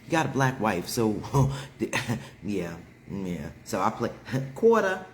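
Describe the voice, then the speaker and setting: Deep-voiced man telling stories with laughs. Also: takes it slowly, roomy sound, slight background noise. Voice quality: deep, heavy voice. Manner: animated, laughs often, talks straight to viewers. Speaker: live stream host. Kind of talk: casual storytelling chat.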